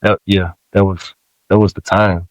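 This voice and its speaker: Low-voiced man telling stories, dry sound. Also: a little slow, no echo, poor audio. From Samoa. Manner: relaxed storytelling with many fillers. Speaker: rapper guest. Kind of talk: casual interview talk.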